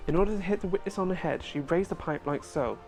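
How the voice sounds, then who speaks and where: A man's voice: high-pitched, fast talker, a little mellow, faint music behind. A man voicing a confident young male defense lawyer in a fan dub of a courtroom game, building his case in a methodical, pointed way.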